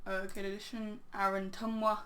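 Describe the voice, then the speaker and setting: Rambling hoarse woman commentating a game. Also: mid-range voice, level tone, moderate pace, fairly bright tone, slight background noise. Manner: rambling, filler-heavy, "um, yeah". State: crackly, hoarse voice. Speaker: game channel host. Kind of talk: casual video game commentary.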